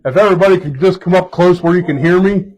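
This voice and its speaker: A fire chief leading a group around a building indoors, speaking loudly in a casual, halting way with many fillers and restarts. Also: male voice, sits high, poor audio. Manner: loud voice. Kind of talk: casual spoken tour guiding.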